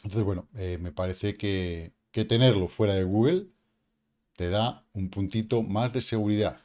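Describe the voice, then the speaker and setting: A man's voice: fairly low pitch, moderate pace, mellow. A man in his fifties with a Peninsular Spanish accent, hosting a casual crypto explainer from a small room, chatty and emphatic with tag questions and mild swearing. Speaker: crypto channel host.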